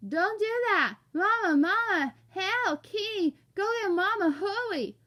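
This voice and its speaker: A high, loud, desperate teenage boy, acted by a woman reading a storybook. His words come out mumbled and garbled. He sounds tearful and panicked. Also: expressive, takes it slowly, exaggerated voice. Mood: frantic.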